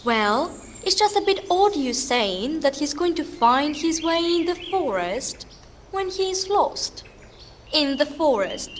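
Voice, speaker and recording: A woman's voice: high voice, sing-song, takes it slowly, slight background noise, exaggerated voice. A woman playing a wry, matter-of-fact, nitpicking co-narrator in a fairytale audio drama. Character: wry, nitpicking female co-narrator who punctures the mood. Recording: faint forest birdsong behind.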